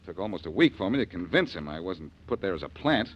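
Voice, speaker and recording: A man's voice: low voice, big pitch swings, fast talker, slight background noise. A man playing a wry, self-assured detective posing as a convict in a 1940s radio crime drama. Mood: confident. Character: wry, cocky male detective undercover as convict. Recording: dull vintage radio recording.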